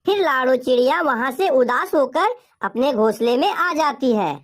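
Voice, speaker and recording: A woman's voice: sits high, expressive, normal speed. A woman narrating a scripted cartoon story as its storyteller.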